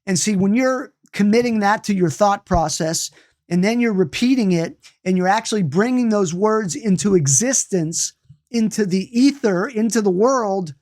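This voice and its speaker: A man's voice: sits high, moderate pace, a little mellow. A man in his late fifties giving a loose, conversational lesson on energy healing and meditation, restarting and repeating himself as he goes. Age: 50s. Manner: loose, repetitive, many restarts and asides.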